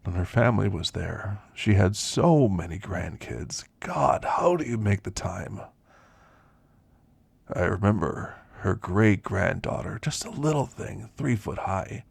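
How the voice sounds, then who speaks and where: A man playing an elderly, ailing werewolf in an ASMR roleplay, gentle and reflective, reminiscing in a soft, deliberate, gravelly voice. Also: fairly low pitch, expressive, no room sound, heavily acted. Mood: wistful, tender. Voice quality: gravelly, husky.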